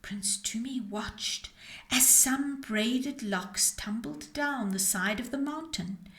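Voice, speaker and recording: A woman storyteller reading a storybook aloud to children in an expressive, dramatic way with deliberate phrasing. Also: fairly high pitch. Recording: roomy, echoing sound.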